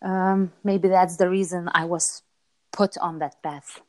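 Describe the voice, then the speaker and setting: A woman's voice: on the low side, level tone, slow. A middle-aged woman from Lithuania with a Lithuanian accent, answering interview questions casually, repeating words for emphasis and checking in with short tags. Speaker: interview guest, entrepreneur.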